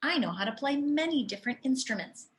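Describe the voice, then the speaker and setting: A woman's voice: high-pitched, lively intonation, fairly quick. A woman reading the part of a friendly, cultured man who plays the flute, enthusiastic and courteous, in a children's storybook. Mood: delighted, friendly. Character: friendly adult male flute player, cultured and encouraging.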